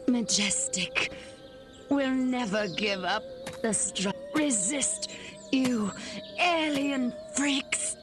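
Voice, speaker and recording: A beaten but defiant secret agent in a game, high laboured voice, really slow, music behind. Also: female voice. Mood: defiant, weakened. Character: defiant, defeated female secret agent facing alien invader. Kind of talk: video game cutscene acting.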